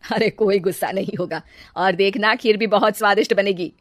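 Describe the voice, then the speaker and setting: A kindly mother-in-law played by a woman narrator, encouraging, in cartoon-story style. The voice has a middle pitch and a dry, close sound. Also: fairly even intonation, a little fast, no room sound, natural voice. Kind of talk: cartoon family drama acting.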